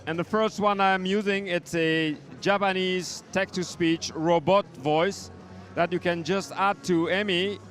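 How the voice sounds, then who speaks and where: A man giving an informal product demonstration as a synth maker, explaining in a halting way. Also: sits high, steady tone, fairly bright tone, faint music behind. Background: electronic synth sounds and beats.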